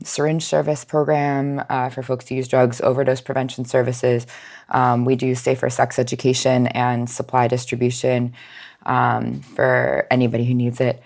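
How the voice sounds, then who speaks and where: A woman speaking as an interview guest, explaining informally with measured, hesitant pauses. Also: rumbling low, a little mellow. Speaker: nonprofit outreach manager, podcast guest.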